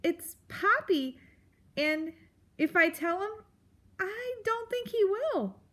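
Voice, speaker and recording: A timid young girl mouse from a children's storybook, acted by a woman reader. Her voice is small and high. She speaks politely and hesitantly, always apologetic. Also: lively intonation, slow, fairly bright tone, full character voice. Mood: nervous, pleading.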